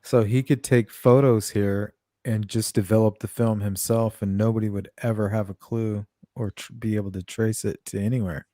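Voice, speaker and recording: A woman's voice: rumbling low, steady tone, moderate pace, few pauses, warm tone, no room sound, clean studio sound. A woman hosting a casual livestream chat, thinking aloud as she goes. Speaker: host.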